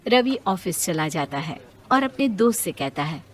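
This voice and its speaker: A woman's voice: lowish voice, big pitch swings, normal speed. A woman delivering scripted narration for a moral story.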